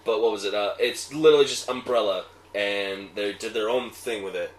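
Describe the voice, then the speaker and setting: A man co-hosting a casual chat, informal and a bit sweary, quick to correct details. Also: lowish voice. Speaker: co-host. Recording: roomy echo, background noise.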